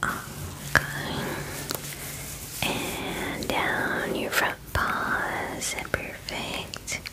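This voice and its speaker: Slow whispering young woman, ASMR. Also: low-quality recording. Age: 20s. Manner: soft soothing whisper, unhurried, murmured reassurances. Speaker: ASMR creator playing a spa groomer. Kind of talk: ASMR personal-attention roleplay. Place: small dim room indoors.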